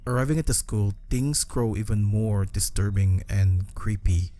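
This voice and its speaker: A man's voice: lowish voice, measured pace, dark tone. A man reading a scripted horror story narration for a video. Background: background music.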